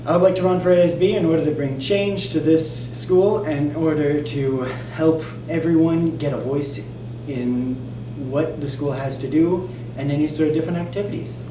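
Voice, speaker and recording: A teenage boy with a slightly high voice speaks in an upbeat, somewhat showy manner. He is recorded from a distance in an echoey room, with noise underneath. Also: fairly even intonation, normal speed. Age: teens. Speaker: student candidate. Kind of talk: answering interview questions.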